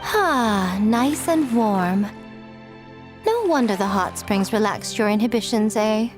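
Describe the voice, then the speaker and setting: An actress voicing a sly, self-assured, playful schemer in an anime game, with a teasing, knowing manner. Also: female voice, medium pitch, lively intonation, takes it slowly, over music.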